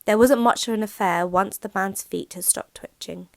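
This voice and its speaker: A woman reads as the narrator of an audio drama in a medium-pitched voice. The storytelling is scripted and dramatic, captured dry with no room sound. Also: moderate pace, creaky, no room sound.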